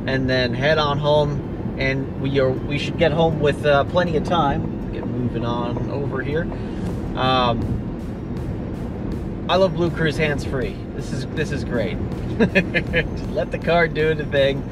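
A man's voice: medium pitch, takes it slowly, over loud music, rough recording. A man casually narrating a road trip from his car, chatty and upbeat, thinking aloud with fillers. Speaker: vlogger. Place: in a car on the highway.

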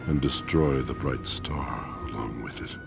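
A deep, gravelly ancient droid guide. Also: male voice, heavily acted. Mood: solemn. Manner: slow, instructive. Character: ancient male droid guide, solemn and commanding. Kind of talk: game character dialogue. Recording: game audio with loud music.

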